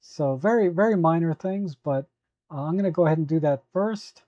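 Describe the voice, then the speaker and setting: Hoarse man with a dark, dull voice. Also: a little high, no room sound. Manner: relaxed, halting, many fillers. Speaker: photo editing livestream host. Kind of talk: live tutorial chatting with viewers.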